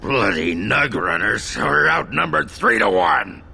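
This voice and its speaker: Gravelly, gruff angry warrior. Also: male voice, mid-range voice, very animated, a little slow, sharp tone, heavily acted. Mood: angry, alarmed. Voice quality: gravelly, rough. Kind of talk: video game acting.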